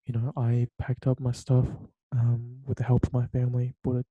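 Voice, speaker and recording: A man's voice: mid-range voice, completely flat delivery, normal speed, husky, dry recording. A young man from Taiwan hosting a solo podcast from his bedroom, talking hesitantly with many fillers and restarts. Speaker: podcast host. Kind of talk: solo late-night podcast monologue. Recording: dull lo-fi sound.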